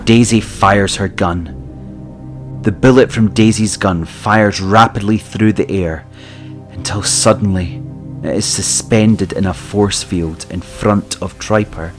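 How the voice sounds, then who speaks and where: Male narrator for audio drama, low-pitched, scripted storytelling. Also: steady tone, takes it slowly, soft background music.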